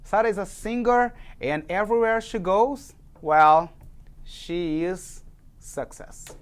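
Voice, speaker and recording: A man's voice: high voice, slow pace, clear, resonant tone. A man hosting a studio interview, with emphatic introductions and short backchannels. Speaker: talk show host.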